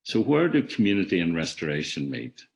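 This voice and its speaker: A man giving a reflective academic talk in a webinar, measured, with frequent restarts and words that trail off softly. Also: deep voice, sing-song, gravelly, a little hiss or hum. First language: not an Irish speaker. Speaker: webinar speaker. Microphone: video call.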